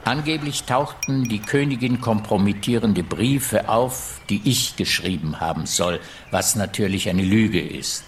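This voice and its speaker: An actor in a radio play voicing an aggrieved French royal minister reciting a letter in grave, measured, emphatic phrases. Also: male voice, on the low side, slight background noise, lightly acted. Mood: bitter, resigned. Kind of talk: radio drama, letter read aloud.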